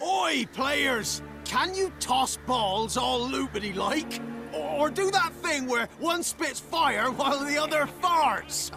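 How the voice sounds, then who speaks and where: A jeering, dim bandit from a fantasy game. The voice is high and slow, full of coarse rustic slang, with music behind it. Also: male voice, lively intonation, exaggerated voice. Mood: mocking, gleeful. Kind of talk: video game cutscene acting.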